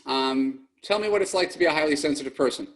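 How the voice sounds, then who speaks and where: A man's voice: fairly high pitch, fast talker, poor audio. A middle-aged man hosting a dating advice podcast, speaking bluntly and plainly and often correcting himself mid-sentence. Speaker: podcast host and dating coach. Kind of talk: podcast monologue and call-in coaching.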